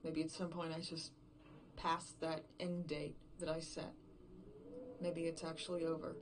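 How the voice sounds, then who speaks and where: Actress as weary, scared woman left alone in a horror audio drama; slightly low voice, halting recorded-diary monologue, noticeable background noise. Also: completely flat delivery. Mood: defeated. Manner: halting, repetitive, thinking aloud, swearing. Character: exhausted, frightened woman game writer, alone after everyone vanished.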